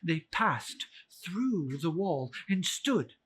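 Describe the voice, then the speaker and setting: A man's voice: fairly high pitch, sing-song, very slow, a bit bright, breathy edge. A man giving a dramatic narration of a classic literary story.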